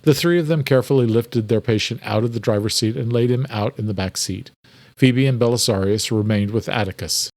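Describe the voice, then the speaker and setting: A man narrates a horror thriller in a dramatic, scripted style. His pitch is average for a male voice, and the recording is close and very dry. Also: brisk, a little mellow, no room sound.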